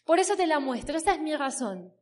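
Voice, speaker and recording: A woman's voice: high-pitched, big pitch swings, brisk, darkish tone. A woman in her thirties with an Argentine accent from the Buenos Aires area, telling her personal story as a motivational speaker at a business event, emphatic and stacking long repeating lists. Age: 30s. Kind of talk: personal story told from a stage. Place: stage before a live audience.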